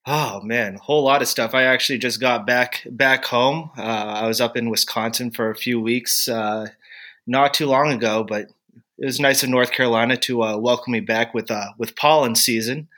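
A man's voice: mid-range voice, fairly even intonation, moderate pace, pushed and bright. A man who is a guest on a casual podcast, laid-back and sarcastic, sounding a little stuffed up, swearing freely. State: stuffed up from pollen.

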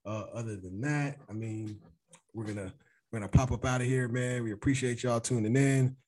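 A man's voice: mid-range voice, fairly even intonation, normal speed, darkish tone. Casual man hosting a podcast chat. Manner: talks over others with stacked repeats. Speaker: podcast host. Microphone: video call.

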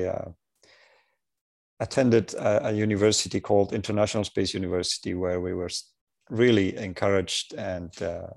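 A man in his sixties, an engineer, talking in a measured, thoughtful way with many pauses, on a remote audio call. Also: fairly low pitch, creaky, darkish tone. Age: 60s. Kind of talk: reflective conversation.

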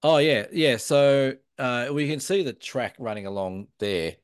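A man's voice: medium pitch, expressive, unhurried, clean tone, no echo, studio quality. A man with an Australian accent, co-hosting a casual photography podcast, easygoing and joking. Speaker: podcast co-host. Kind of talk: casual chat.

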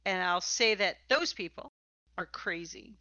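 Bright-voiced woman hosting, slightly dull audio. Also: fairly low pitch, unhurried, no room sound. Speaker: podcast host and interviewer. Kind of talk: conversational interview about tabletop games.

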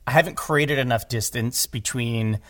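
A man chatting casually about creative work, with animated, self-deprecating asides. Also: medium pitch, moderate pace, dry recording, studio quality. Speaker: podcast guest, audio drama writer and director. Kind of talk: casual conversational discussion.

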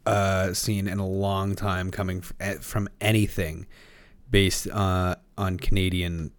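A man in casual podcast chat, telling a story in a halting, profane way. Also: low voice, little pitch movement.

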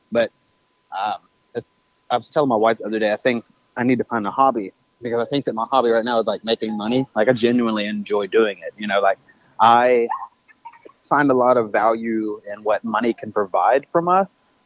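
A man's voice: mid-range voice, fairly quick, no echo. Guy talking on the phone, kinda muffled and dry, lots of ums and backing up. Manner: thoughtful, many fillers and self-corrections. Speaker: podcast guest, business owner. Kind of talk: casual interview talk. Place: on a phone call. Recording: dull phone line.

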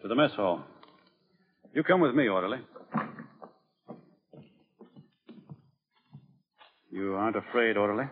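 An actor playing a stern, weary, commanding army doctor with a Southern US accent in an old film drama. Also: male voice, fairly low pitch, animated, fast talker, breathy edge, long reverb tail. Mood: grave. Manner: forceful, clipped orders. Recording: muffled, narrow-band old film soundtrack.

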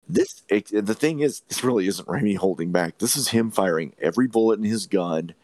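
Low-voiced man with swooping, animated pitch. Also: no echo. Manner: emphatic, opinionated, swears casually. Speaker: podcast host. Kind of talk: casual film discussion.

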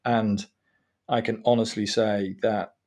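A man's voice: on the low side, flat delivery, slow pace, darkish tone. A man from England in his forties, a guest on an interview podcast, chatty and self-deprecating as he gives advice and tells stories.

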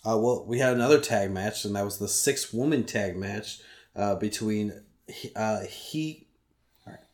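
Chill podcast guy, kinda low voice, laughs a lot, trips over names. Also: normal speed, darkish tone. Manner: easygoing, laughs often, stumbles over names. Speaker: podcast co-host. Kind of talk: casual podcast chat.

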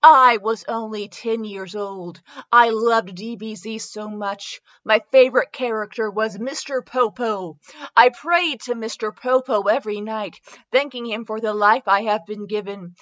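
A high-voiced woman gives a comedic dramatic reading at an unhurried pace. She is giggly and mock-dramatic, often interrupting herself with casual asides, and the sound is slightly dull and lo-fi. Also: steady tone, fairly bright tone. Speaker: podcast host reading fanfiction.

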